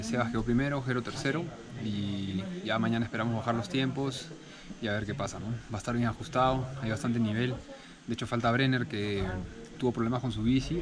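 Calm racer, crowd chatter behind. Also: male voice, medium pitch, fairly quick. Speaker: downhill racer. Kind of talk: post-race interview. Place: outdoors under a shelter at a race venue.

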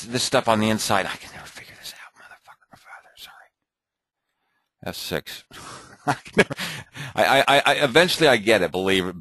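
A man thinking aloud and muttering to himself as he clicks through an off-the-cuff software tutorial at his computer. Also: fairly low pitch, no room sound. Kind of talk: off-the-cuff screen recording tutorial.

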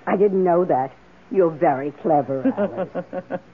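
A woman's voice: fairly low pitch, measured pace, husky. An actress playing an eager, emotional woman houseguest in an old-time radio drama, with an earnest and dramatic delivery. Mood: delighted, admiring. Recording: muffled lo-fi radio drama recording.

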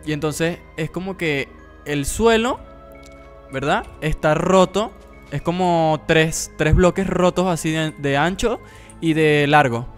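Casting: young male gamer, higher pitch, excitable and playful, casual banter over background music. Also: slow pace. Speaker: gaming video host, player. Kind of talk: casual gameplay chat with friends.

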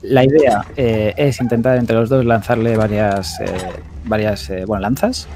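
A man's voice: lowish voice, steady tone, measured pace, dark tone, a little hiss or hum. A man in his twenties with a Spain accent, chatting casually on a close mic while streaming a game. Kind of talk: casual chat while gaming. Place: indoor gaming setup.

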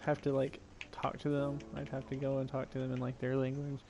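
A young man talking casually with a friend during a video game, with quick teasing asides. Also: mid-range voice, completely flat delivery, dull audio. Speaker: co-player. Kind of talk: casual gaming chat. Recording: music playing behind.

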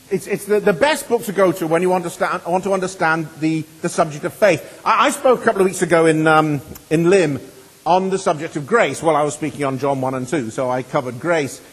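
Middle-aged male preacher, high husky voice, earnest, with a long church reverb. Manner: earnest, emphatic, swings between loud and hushed. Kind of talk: sermon. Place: church hall.

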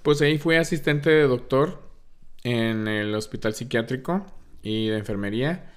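Relaxed man from Texas. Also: medium pitch, moderate pace, clear, resonant tone. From El Paso, Texas. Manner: relaxed, hesitant with fillers. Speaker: guest, actor and singer. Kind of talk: casual podcast interview. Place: small decorated studio room. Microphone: studio mic on boom arm, headphones.

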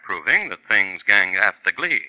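Casting: sardonic radio crime narrator, rather low and very swooping pitch, clipped dry delivery. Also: male voice, very animated, normal speed, pushed and bright, dry recording, full character voice, low-quality recording. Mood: wry, dry. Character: wry, deadpan male crime-story narrator. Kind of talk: scripted 1950s radio narration. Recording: old radio recording, faint noise.

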